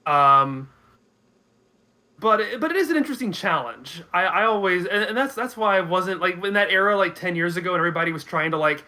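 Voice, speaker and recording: A man in a casual discussion as a guest commentator, haltingly repeating words as he works out his thoughts. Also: high voice, animated, pushed and bright. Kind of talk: casual film and game discussion.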